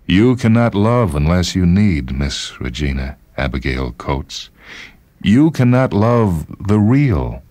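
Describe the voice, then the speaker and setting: A man's voice: deep voice, sing-song, slow, darkish tone, no echo, voice pushed up, exaggerated voice. A man voicing a sorrowful, accusing conjured man in a short-story reading, with a dramatic, wounded delivery.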